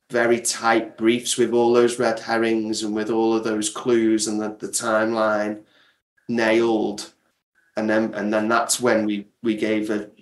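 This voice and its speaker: Man, slightly low pitch, fairly even delivery, roomy echo, explanatory interview talk, halting restarts. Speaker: audio drama creator, interview guest. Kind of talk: conversational interview answers. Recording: echoey, roomy sound.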